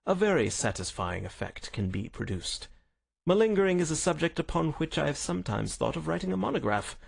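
Storybook detective, played by a male reader: self-assured, wry, theatrical, precise diction, husky and creaky. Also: mid-range voice. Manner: precise, lofty, courteous. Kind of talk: dramatised audiobook reading.

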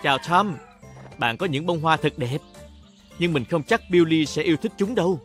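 A man's voice: fairly high pitch, lively intonation, normal speed, fairly bright tone. A man narrating a children's cartoon in speech, talking to the characters like a storyteller for young children. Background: music.

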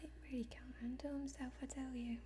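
A young woman voicing a friendly, bustling tavern server in an ASMR fantasy role-play, soft, breathy and folksy. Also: high-pitched, flat delivery, fairly quick, voice pushed up, natural voice. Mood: chatty, bustling. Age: young adult. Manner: chatty, folksy, eager to please.

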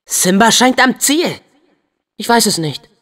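Teenage boy hero for a game dub: earnest, plucky, very expressive pitch, easy pace. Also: unhurried, long reverb tail, full character voice. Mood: warm. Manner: eager, expressive, youthful delivery. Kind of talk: German video game dub acting.

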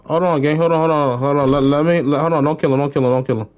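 A young man giving casual live gaming commentary, slangy, repeating short phrases excitedly. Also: on the high side, very fast, highs cut off. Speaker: game streamer.

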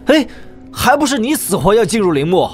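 A man's voice: high voice, very animated, a little fast, faint music behind. A man acting a thoughtful, wry young martial hero in a Chinese audio drama, given to sighing and musing. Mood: exasperated.

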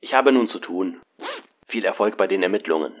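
A man's voice: sits low, lively intonation, brisk, a little hiss or hum. A man playing a formal, businesslike client briefing detectives in an audio drama parody.

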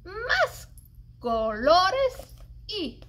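A middle-aged woman reading a children's poem aloud in an expressive, singsong, deliberate way, as a story-time librarian. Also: very high-pitched. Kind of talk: animated read-aloud of a children's cooking poem. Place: indoors, public library children's area.

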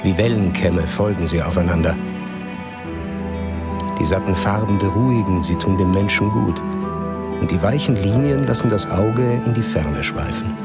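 A man giving calm, measured scripted narration for a documentary voice-over. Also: low-pitched. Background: music under the narration.

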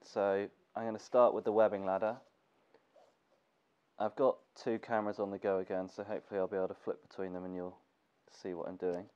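Congested low-voiced man in a workshop. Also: brisk, clean tone. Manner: relaxed, thinking aloud with fillers. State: congested from a cold. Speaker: gear maker teaching sewing. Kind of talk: casual tutorial narration. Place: workshop with sewing machine. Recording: dull lo-fi camera audio with faint noise.

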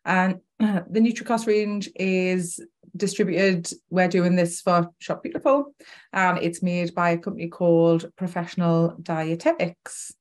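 A woman's voice: fairly low pitch, fairly even intonation, unhurried, a little mellow. A woman in her forties with a Newcastle Geordie accent, presenting an informal product webinar.

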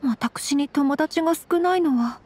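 High-pitched, gentle young noblewoman. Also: unhurried. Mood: shy, anxious. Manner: very polite, formal, soft-spoken. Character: gentle, refined young noblewoman, earnest and shy. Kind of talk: Japanese anime game voice acting.

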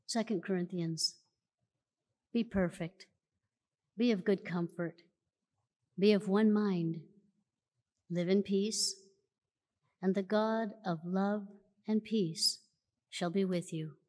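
A woman reading scripture aloud at a church lectern in a measured, solemn way, recorded in a large church auditorium. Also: medium pitch, a little mellow. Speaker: church reader. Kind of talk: slow formal scripture reading. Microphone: lectern mic from a far camera. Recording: long church hall reverb.

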